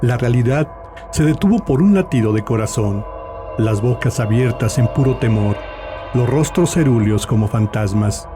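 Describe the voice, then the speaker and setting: A man's voice: medium pitch, lively intonation, normal speed. A man giving a dramatic scripted narration as an audiobook narrator. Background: music.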